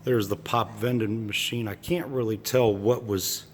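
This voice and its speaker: A man with a slightly froggy voice from allergies, giving halting, musing, folksy commentary as he walks around exploring with a handheld camera. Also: lowish voice, fairly even intonation, a little hiss or hum. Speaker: urban exploration video maker. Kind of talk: casual unscripted walk-through commentary. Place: walking around an abandoned park site.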